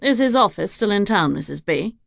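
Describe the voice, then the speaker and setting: A woman's voice: mid-range voice, expressive, fairly quick, gravelly, no echo, clean studio sound. A woman voicing a grieving young lord. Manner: gentle, sorrowful, sighing.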